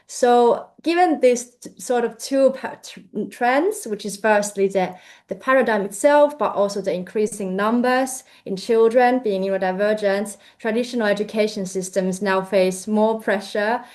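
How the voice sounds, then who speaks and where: A woman's voice: medium pitch, moderate pace, hardly any pauses, darkish tone. A young woman from Finland with a Finnish accent, presenting her research in a hesitant, filler-heavy way over a video call. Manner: hesitant, many fillers and restarts. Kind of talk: presentation talk.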